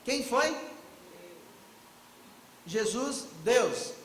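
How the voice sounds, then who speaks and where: A man's voice: extremely high pitch, animated, extremely slow, reverberant, slight background noise, poor audio. A man giving a theological lecture at a conference, reflective and posing questions to the audience before answering them himself. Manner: reflective, asks and answers his own questions. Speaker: conference speaker.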